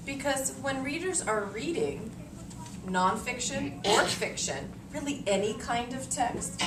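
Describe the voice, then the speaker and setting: An American woman teaches a class of young children, her pitch swinging expressively as she explains and thinks aloud. She checks in often with small questions. She is picked up from a distance in an echoey classroom, with clear background noise. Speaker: elementary school teacher. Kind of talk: interactive read-aloud lesson for young children. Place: elementary classroom, indoors. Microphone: camera a few meters away.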